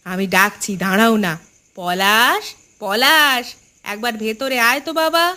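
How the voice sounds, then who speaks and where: A woman's voice: a little high, unhurried, pushed and bright. A woman playing a caring, agreeable middle-aged village wife and mother in a cartoon, supportive and coaxing. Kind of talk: cartoon voice acting. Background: background music.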